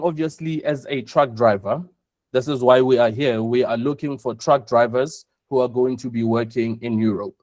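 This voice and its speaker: A South African man presenting an online webinar, speaking in a measured way and repeating his points for emphasis. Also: medium pitch, darkish tone, no room sound. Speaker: webinar host, operations chief.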